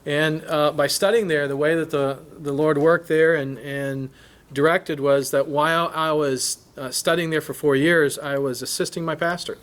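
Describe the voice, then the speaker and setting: A man's voice: a little high, fairly even intonation, fairly bright tone. A man hosting a church workshop who introduces the speaker and then interprets him phrase by phrase, often restarting phrases. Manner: halting, restarts phrases while translating. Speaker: host and interpreter.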